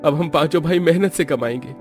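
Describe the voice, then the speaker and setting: A man's voice: on the high side, brisk, faint music behind, lightly acted. A man voicing an earnest village husband in a cartoon story. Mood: remorseful. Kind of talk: Hindi cartoon story dubbing.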